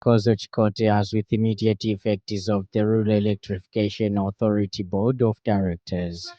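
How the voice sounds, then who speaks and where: A Zambian man reads the radio news from a studio. His voice is on the low side and notably mellow. He keeps a formal, measured delivery suited to a scripted bulletin. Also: little pitch movement, dry recording, dull audio.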